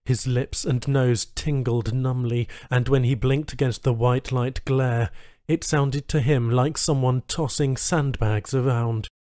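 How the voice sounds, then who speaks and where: A man reads a story as an audiobook narrator. His pitch is middling for a male voice, and the recording is close and dry, with no room sound. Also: steady tone, measured pace, mellow, no room sound.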